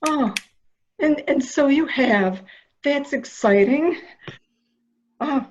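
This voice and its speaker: A woman's voice: high-pitched, takes it slowly. A woman playing a coaxing, anxious character who humors a madman in a theatrical murder mystery drama, recorded with muffled sound. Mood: nervous, flattering. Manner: soft questioning, flattering, theatrical. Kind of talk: amateur murder mystery audio drama acting.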